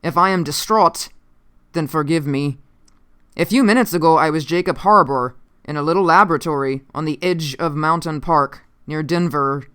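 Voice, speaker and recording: An uncanny gaunt scientist out of the past , as an audiobook narrator puts him on, unnerved and vengeful. He speaks in slow, formal, commanding phrases with clear resonance. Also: medium pitch, fairly bright tone, natural voice. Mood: unnerved, intense.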